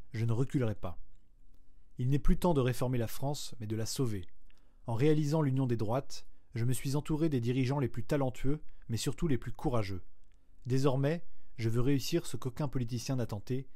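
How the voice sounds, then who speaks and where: A man reading a printed document aloud in a measured, declamatory way, pressing harder on key phrases. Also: mid-range voice, mellow. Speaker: reader.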